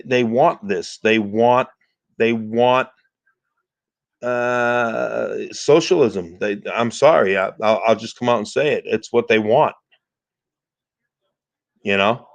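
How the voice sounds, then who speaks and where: A man's voice: medium pitch, takes it slowly, vocal fry, pauses often, dry recording, muffled recording. Blunt stammering man in his fifties. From United States. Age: 50s. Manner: blunt, repeats himself, stammers at starts. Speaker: caller on a livestream. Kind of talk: casual political chat.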